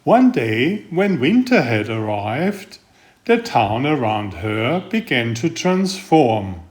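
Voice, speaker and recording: A man reading a picture book aloud in a gentle, unhurried storytelling manner. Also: mid-range voice, big pitch swings. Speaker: storybook reader. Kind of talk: slow read-aloud picture book narration.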